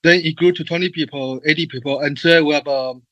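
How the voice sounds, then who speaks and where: A man with a fairly high, bright voice recorded dry and close, with no room sound around it. He speaks at a conference, filling pauses with "uh" and "um" and stuttering on repeated words. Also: fairly even intonation, dry recording, dull audio. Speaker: presenter and moderator. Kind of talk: online conference talk.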